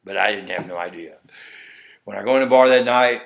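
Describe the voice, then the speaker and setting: A man's voice: fairly low pitch, a little fast, vocal fry. Rambling Texas-accented man telling a story. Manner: rambling, acts out quoted dialogue. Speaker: interview guest. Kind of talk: conversational storytelling.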